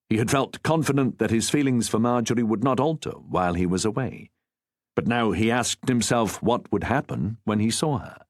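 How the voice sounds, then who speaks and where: A man narrating a historical novel as an audiobook, with a measured, formal storytelling delivery. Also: lowish voice, no room sound, studio quality.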